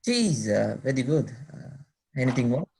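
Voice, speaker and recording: An actor reading an eager, polite teenage shop cashier with a husky voice and halting, formal delivery. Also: male voice, medium pitch, very animated, a little mellow. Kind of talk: table read of a film script.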